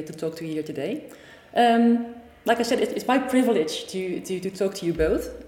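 A woman's voice: lowish voice, a little mellow. A woman host with a Dutch accent, interviewing guests, hesitating often with fillers and restarts. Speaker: podcast host. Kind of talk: interview hosting. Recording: echoey conference room.

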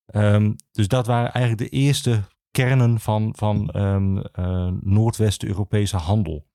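Male podcast guest, archivist explaining history; hesitant delivery, mellow tone, dry close sound. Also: on the low side, steady tone, vocal fry, dry recording. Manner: halting, hesitant, many filled pauses.

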